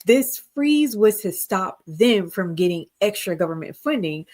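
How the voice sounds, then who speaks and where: A woman's voice: mid-range voice, expressive, unhurried, a little mellow. A woman co-hosting a casual live-stream discussion, explaining earnestly and checking her notes as she goes. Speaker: co-host.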